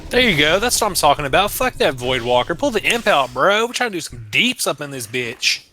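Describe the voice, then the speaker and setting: Thirties guy on gaming voice chat, crude slang, bright voice, faint noise behind. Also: on the high side, big pitch swings, fairly quick. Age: 30s. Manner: crude, loose slang, swears often. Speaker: friend on voice chat during a stream. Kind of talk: casual chat while gaming.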